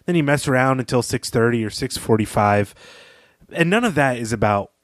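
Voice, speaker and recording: A man with a medium-pitched voice gives off-the-cuff commentary as a podcast co-host. He is opinionated and leans emphatically on his points. The recording is close and very dry. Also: animated, brisk, no room sound. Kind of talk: conversational commentary.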